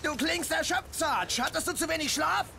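An actor playing a brash, excitable, gung-ho soldier with a mocking, boisterous manner in a dubbed video game. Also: male voice, very high-pitched, normal speed, creaky, over loud music.

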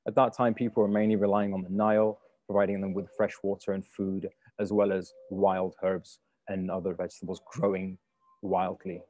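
A man's voice: sits low, mellow, highs cut off. A man who grew up in the UK, a cook giving a polite presentation on a video call, with many fillers and restarts. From United Kingdom. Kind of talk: webinar slide talk. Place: home room on a video call.

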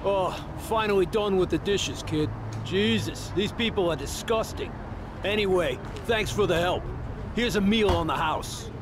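An actor playing a weary, grumbling, nervous diner bartender in a video game, talking informally and a little hesitantly. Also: male voice, high voice, a little slow, background noise. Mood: weary, friendly.

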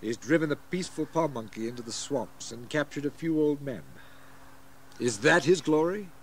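An actor playing a disapproving colonial gentleman in an audio history drama. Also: a little high, moderate pace, slight background noise, lightly acted. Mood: bitter.

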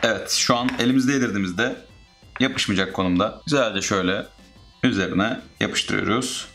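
A man's voice: on the low side, normal speed, a bit bright, soft background music. A man narrating a casual step-by-step how-to as a DIY host.

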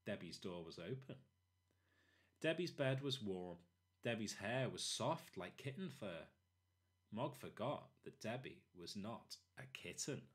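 A man narrating a children's storybook expressively, in short, deliberate phrases. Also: lowish voice, lively intonation. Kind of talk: expressive children's read-aloud.

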